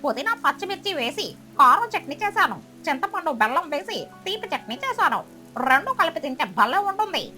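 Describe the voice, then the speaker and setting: Squeaky, chirpy proud duck. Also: female voice, big pitch swings, normal speed, sharp tone, full character voice. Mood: cheerful. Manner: chirpy, enthusiastic. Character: cheerful, proud female duck chutney maker. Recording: music behind the voice.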